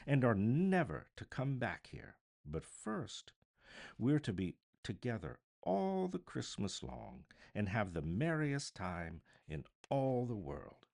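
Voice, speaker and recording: A man's voice: medium pitch, huge pitch swings, takes it slowly, creaky, a little mellow, pitched above the performer's own voice, full character voice. A man voicing a loving, gleeful little girl in a storybook reading, gushing with childish eagerness. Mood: eager.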